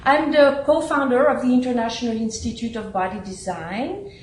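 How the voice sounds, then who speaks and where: A middle-aged woman from Switzerland, a guest talking about her work as a trainer and author in an eager, lively way with quick laughs. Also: on the high side. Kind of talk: interview answers. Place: studio. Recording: echoey studio room, faint noise.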